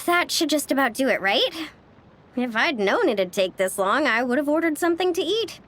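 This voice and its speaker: Sociable game heroine: youthful, high and animated, cheerful and chatty. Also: female voice, normal speed, slight background noise. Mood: breezy. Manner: breezy, chatty. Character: upbeat, sociable, party-loving young woman. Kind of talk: animated video game voice acting.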